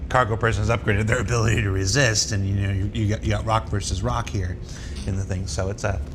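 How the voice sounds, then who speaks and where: A man's voice: level tone, fast. A man hosting an interview in a casual, chatty style. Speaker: interview host. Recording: clear low rumble behind voice.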